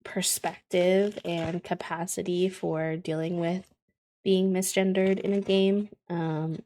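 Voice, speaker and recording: A woman streaming a game, chatting casually and thoughtfully with many fillers, her voice slightly croaky from just waking up. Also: lowish voice, little pitch movement, takes it slowly, warm tone. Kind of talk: casual livestream chat while playing.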